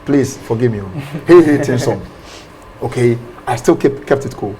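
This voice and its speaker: A man, a pastor explaining ideas in an interview, animated and often repeating words. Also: mid-range voice, normal speed, slight background noise, poor audio. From Ghana.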